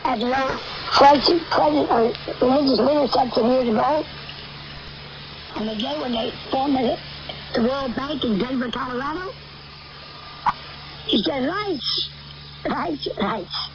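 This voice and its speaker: An older American woman giving a rambling end-times sermon on shortwave radio, halting, repetitive and emphatic. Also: sits high. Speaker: radio preacher. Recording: noisy, muffled shortwave radio capture.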